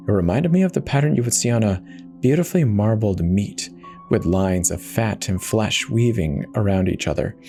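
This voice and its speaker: Man playing a matter-of-fact confessor with swooping pitch. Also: warm tone. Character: confessional devout man turned self-eater, casually rationalizing. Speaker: horror story narrator. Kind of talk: first-person dramatic horror reading.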